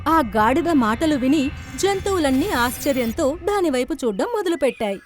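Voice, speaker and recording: A woman with a high-pitched voice narrates a scripted children's tale. Light music plays behind her. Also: lively intonation, moderate pace.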